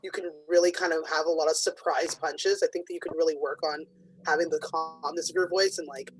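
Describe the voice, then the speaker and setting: A comedian judging a stand-up competition, chatty and upbeat, with a loud, abrasive voice. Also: mid-range voice, fairly even intonation, brisk, soft background music, rough recording.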